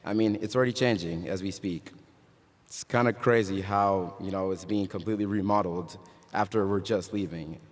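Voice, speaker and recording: A young man from Ghana speaking at his graduation, his voice very low and set in the long reverb of a large hall. He mixes casual jokes with earnest encouragement. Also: normal speed. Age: young adult. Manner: casual joking, then earnest and uplifting. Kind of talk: commencement speech.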